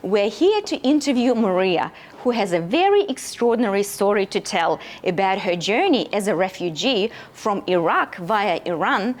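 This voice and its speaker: A woman's voice: mid-range voice, lively intonation, normal speed, hardly any pauses. A woman hosting an interview with an enthusiastic presenter delivery, recorded at a bar venue. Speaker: interview host. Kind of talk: hosted interview.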